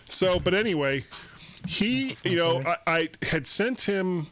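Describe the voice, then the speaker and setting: A man's voice: high voice, lively intonation, slow pace, fairly bright tone, a little hiss or hum. An older man hosting a casual tech podcast on a video call, rambling and chatty, laughing easily, from a home room into a studio microphone. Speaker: podcast host. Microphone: studio microphone on a boom.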